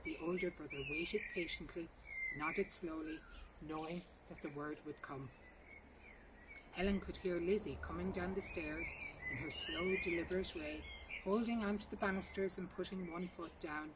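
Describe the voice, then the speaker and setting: A woman with a fairly low voice reads a literary short story in measured, careful phrases. She sounds some way from the microphone, and birdsong and outdoor noise are clearly heard behind her. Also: distant mic. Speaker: reader.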